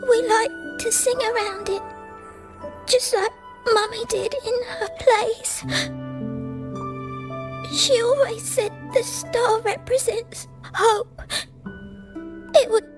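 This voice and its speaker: A woman voicing a frightened, polite little child in a video game, speaking in a halting, plaintive way. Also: very high-pitched, steady tone. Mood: tearful, wistful. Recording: music behind the voice. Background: music.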